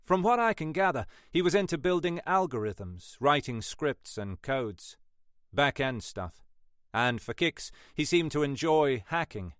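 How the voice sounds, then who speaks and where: A man's voice: on the high side, a bit bright, no echo, studio quality, pitched above the performer's own voice. A man voicing a cocky young computer expert in an audiobook, with a cut-glass English accent and clipped manner. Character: cocky skinny young male computer forensics whiz, twenty-six.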